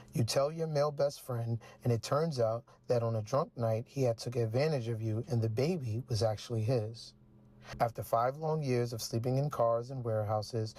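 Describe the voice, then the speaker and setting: Mellow-voiced male interview host. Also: medium pitch. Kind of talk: scripted narration and host talk.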